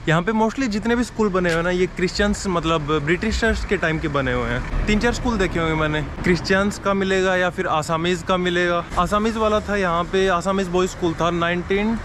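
A man's voice: high-pitched, fairly quick, hardly any pauses. A young man walking outdoors and talking casually about his travels, recorded on an action camera held at arm's length. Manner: chatty and curious, asking locals questions. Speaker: travel vlogger. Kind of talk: casual travel vlog narration. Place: outdoors on streets and in a market. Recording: outdoor street noise behind.

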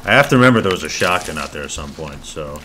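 Very deep-voiced man muttering over gameplay. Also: animated, fast. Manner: muttering, profane, thinking aloud. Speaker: gameplay streamer. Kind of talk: casual gameplay commentary. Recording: loud game rain noise behind voice.

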